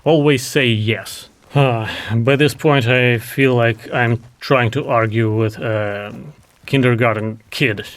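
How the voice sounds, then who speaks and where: A man's voice: medium pitch, fairly even intonation, slow pace. A man from Ukraine, a Russian speaker, hosting a heated commentary in a sarcastic, mocking tone. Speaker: commentary host. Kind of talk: reaction commentary.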